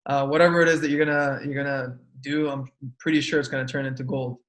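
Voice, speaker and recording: A man's voice: medium pitch, flat delivery, quick pace, fairly bright tone, roomy sound. A man hosting an interview over a video call, asking questions hesitantly with many fillers. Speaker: interview host. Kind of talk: casual interview chat.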